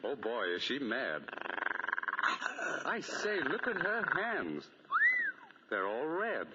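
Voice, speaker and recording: Old 40s radio ad man, super animated slow exclamatory talk, loud music, muffled. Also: medium pitch. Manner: drawn-out, exclamatory. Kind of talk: theatrical 1940s radio commercial. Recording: muffled 1940 radio broadcast.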